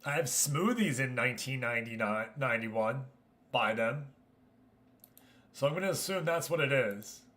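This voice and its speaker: A man's voice: medium pitch, expressive, normal speed, a bit bright. A man hosting a gaming livestream, talking casually and chummily to his viewers as he comments. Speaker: livestream host.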